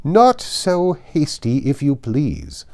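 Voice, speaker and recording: A man's voice: fairly high pitch, very animated, slow pace, mellow, pitched above the performer's own voice, exaggerated voice. A man voicing a haughty, indignant older aristocratic lady in a formal, emphatic audiobook reading. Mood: indignant, imperious.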